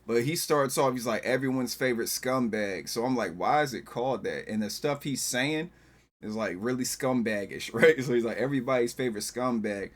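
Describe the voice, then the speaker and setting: A man hosting a music reaction, talking casually in loose, rambling sentences and telling stories that pick up in excited bursts. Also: medium pitch, fairly quick. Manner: rambling, loose, with frequent filler phrases.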